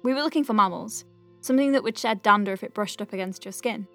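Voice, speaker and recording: A woman playing a wry, soft-hearted young clinic doctor in an audio drama, chatting informally as she dictates her notes. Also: medium pitch, fast talker, soft background music, natural voice. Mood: earnest, explanatory. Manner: chatty, wry, hesitant asides. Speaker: lead voice actor.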